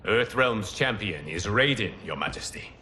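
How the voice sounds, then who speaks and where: An actor playing a calm, wise male mentor figure in a video game cutscene, measured and courteous. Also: lowish voice, slight background noise. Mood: serene. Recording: music underneath.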